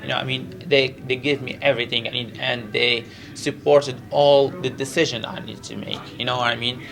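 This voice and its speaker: Gravelly Moroccan-accented male fighter. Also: medium pitch, fairly even intonation, fairly quick, fairly bright tone, rough recording. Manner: earnest, repetitive, non-native English with frequent 'you know'. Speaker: professional MMA fighter. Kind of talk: press interview, casual chat.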